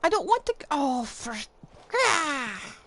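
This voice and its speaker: A woman giving casual, expressive live commentary as she plays a game, in a playfully exasperated way. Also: high voice, slow pace, noisy background. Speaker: game livestreamer. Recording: music playing behind voice. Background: game music.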